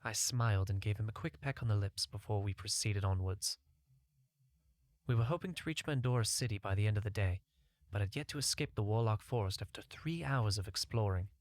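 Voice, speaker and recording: A man's voice: on the low side, brisk, dry recording. A man narrating a scripted first-person fantasy short story as an audiobook reader.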